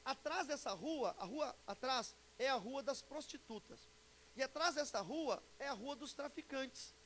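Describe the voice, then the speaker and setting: A Brazilian pastor in his forties speaks in a notably high male voice. He teaches a congregation informally, joking and checking in with questions. A faint hiss runs under the live recording. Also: animated, a little slow, a bit bright. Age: 40s. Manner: chatty, joking, asks the audience questions. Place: church conference before an audience. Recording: live talk with background hiss.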